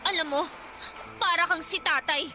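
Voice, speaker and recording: A young Filipino sister with an extremely high voice. She is outspoken, nagging and emotional in a radio drama, with clear noise behind her. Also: moderate pace. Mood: defiant. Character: defiant, outspoken younger sibling, scolding and upset.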